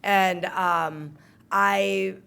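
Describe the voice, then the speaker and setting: A woman's voice: mid-range voice, steady tone, very slow, vocal fry, fairly bright tone, clean tone. A woman in her thirties co-hosting a casual podcast, thinking aloud in a reflective way with restarts. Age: 30s. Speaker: podcast co-host.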